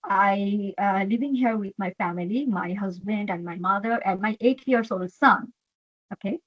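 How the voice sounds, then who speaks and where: Halting Bangladeshi woman, dull line. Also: medium pitch. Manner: earnest, halting, frequent fillers and "okay". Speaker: HR executive, guest. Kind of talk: interview answers.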